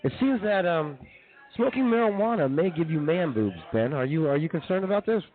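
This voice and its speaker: A man's voice: a little high, lively intonation, brisk, faint music behind. A man co-hosting a comedy radio show, joking casually and jumping in with emphatic interjections. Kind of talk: casual comic banter. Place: live show at a brewing venue. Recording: thin phone-like audio.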